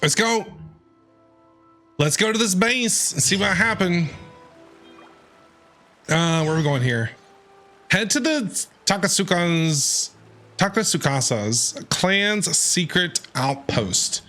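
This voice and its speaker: A man streaming a video game and reacting off the cuff, playful and excitable. His voice is bright and jumps around in pitch, with faint game music behind him. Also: slow pace, pauses often. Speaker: gaming streamer. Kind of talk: casual live reaction commentary over gameplay.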